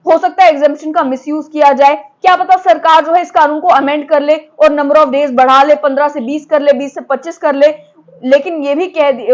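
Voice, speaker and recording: A woman's voice: high-pitched, dull audio. A woman presenting explanatory news commentary, mixing in English legal terms as she explains point by point.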